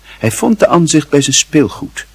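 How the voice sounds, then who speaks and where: A man narrating a literary radio play in a measured, formal and wry manner, with a husky voice. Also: on the high side, sing-song, dry recording.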